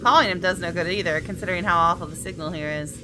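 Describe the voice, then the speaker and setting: Female gaming host with a lowish voice, reading story text dramatically, clear background noise. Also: fast. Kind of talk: expressive read-aloud of a visual novel with asides.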